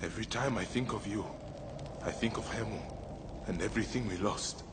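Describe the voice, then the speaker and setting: An actor playing a grieving, vengeful ancient Egyptian warrior in a video game drama, solemn and heartfelt. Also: male voice, lowish voice, brisk, very noisy, lightly acted. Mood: somber.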